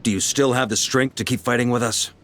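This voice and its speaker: An actor playing a hearty, confident grandmaster of knights in a video game, commanding yet easygoing. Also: male voice, medium pitch, steady tone, a little fast, fairly bright tone, a little hiss or hum, natural voice. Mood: easygoing, assured. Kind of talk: English video game voice acting.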